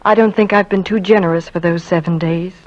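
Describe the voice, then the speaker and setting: An actress playing a proud, quietly hurt small-town young wife who speaks in a composed, dignified way, in 1940s radio acting style on a lo-fi old recording. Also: female voice, fairly low pitch, steady tone, fairly quick, natural voice. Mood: cool.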